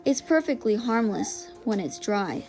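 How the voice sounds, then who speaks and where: A woman voicing a coolly menacing female prison camp warden in an audiobook, soft-spoken, deliberate and threatening. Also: mid-range voice, very animated, faint music behind.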